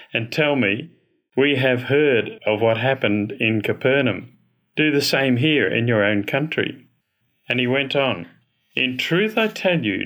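A man reading a gospel passage aloud from a script, with a clear, formal storytelling delivery. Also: mid-range voice, fairly bright tone. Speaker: scripture reader.

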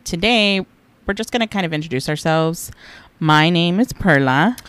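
A woman's voice: fairly low pitch, lively intonation, normal speed, fairly bright tone, smooth, no echo. A woman in her thirties from Los Angeles co-hosting a podcast in an upbeat, chatty way. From Los Angeles, California. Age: 30s. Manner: upbeat, chatty, laughs easily. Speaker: podcast co-host. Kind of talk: casual chat.

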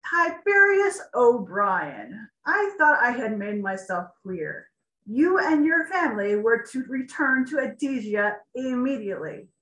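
A woman's voice: a little high, very animated, measured pace, a bit bright. A woman voicing a stern, haughty king in a storybook reading. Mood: indignant. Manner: commanding, indignant. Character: stern, haughty middle-aged male king. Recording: echoey room.

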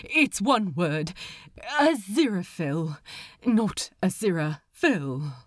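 Lady doing a fussy anxious angel guy for an audiobook, earnest, really lively pitch. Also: slow, dry recording, studio quality, exaggerated voice. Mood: flustered, insistent. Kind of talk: acted audiobook dialogue.

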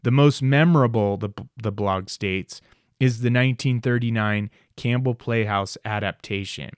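A man's voice: medium pitch, moderate pace, clean tone, no room sound, studio quality. A man hosting a podcast, reading a scripted introduction to a classic radio drama. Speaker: podcast host.

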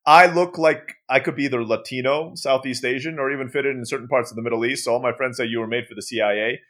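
A quick-talking man with an American-sounding accent, though his first language is Turkish. He chats freely as a podcast guest and laughs often. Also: mid-range voice, steady tone, fairly bright tone. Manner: chatty, laughs easily. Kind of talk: casual interview chat.

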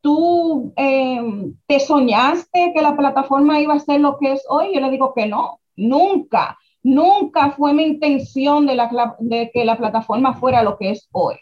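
Dominican woman, lively interview talk. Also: high voice, unhurried. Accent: Dominican Spanish. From Dominican Republic. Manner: animated, many restarts and rhetorical questions. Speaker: guest, founder of a writers' platform. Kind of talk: casual interview conversation. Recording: dull lo-fi sound.

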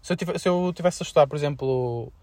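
A young man with a European Portuguese accent chatting informally as a podcast co-host, with slangy, halting delivery. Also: fairly high pitch, a little mellow, no room sound. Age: young adult. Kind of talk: casual student podcast chat.

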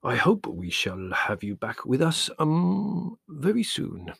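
A man voicing a precise, formal gentleman who mumbles his goodbyes hesitantly. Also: a little high, big pitch swings, slow pace, vocal fry, breathy edge, no echo, studio quality, voice pushed up, exaggerated voice.

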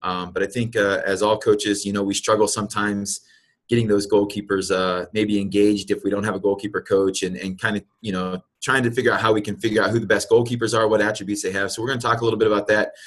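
Fast-talking low-voiced male podcast host. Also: level tone. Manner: hesitant, many fillers and restarts. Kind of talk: casual podcast chat.

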